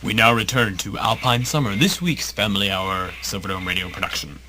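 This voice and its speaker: Man as theatrical low-voiced radio serial announcer. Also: a little fast, bright, forceful tone, slight background noise, low-quality recording. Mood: salesman-like. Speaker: narrator and announcer. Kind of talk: mock old-time radio serial narration.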